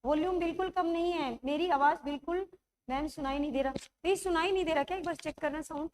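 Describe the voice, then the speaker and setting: A woman teacher with a high voice leads an online grammar class, her throat sore. She keeps coaxing the class with quick check-ins and slows right down to spell out examples. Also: a little mellow. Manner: coaxing, checks understanding often; writes examples while speaking, slows to dictate them.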